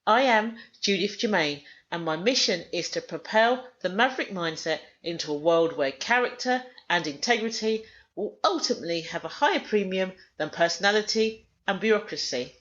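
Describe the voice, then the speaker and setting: A woman hosting a podcast, reading a scripted introduction and then interviewing a guest in a measured, clear way. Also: mid-range voice, expressive, fairly bright tone, muffled recording. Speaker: podcast host.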